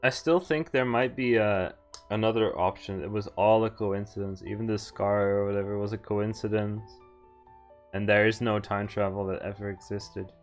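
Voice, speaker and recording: A man doing casual game-stream commentary, reading dialogue aloud and thinking out loud in informal, sweary language. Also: on the low side, steady tone, normal speed, faint music behind.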